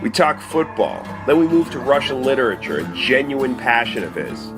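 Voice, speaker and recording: TV reporter, male, animated voice-over, music underneath. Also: medium pitch, moderate pace. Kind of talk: scripted narration.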